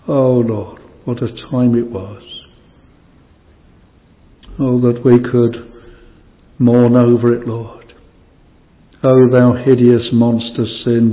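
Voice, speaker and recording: A man leading a solemn extempore prayer in old-fashioned language, pleading, his voice falling away quietly at the ends of phrases. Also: mid-range voice. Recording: distant hall reverb, phone-like band.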